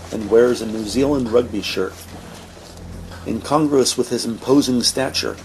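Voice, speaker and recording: A man's voice: mid-range voice, a little hiss or hum, rough recording. A man narrating an audio drama in a scripted, descriptive storytelling style.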